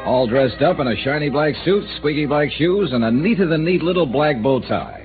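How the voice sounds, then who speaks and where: An actor playing a dry, deadpan insurance investigator who narrates and talks in a 1950s radio drama. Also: male voice, fairly high pitch, fairly quick, close to an everyday voice. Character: wry, hard-boiled insurance investigator man. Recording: lo-fi old radio broadcast with music.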